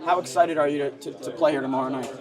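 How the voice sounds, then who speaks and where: A young man interviews in a TV sports reporting style. His voice sits high for a man and he talks fast. A loud crowd is heard behind him. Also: fairly even intonation, poor audio.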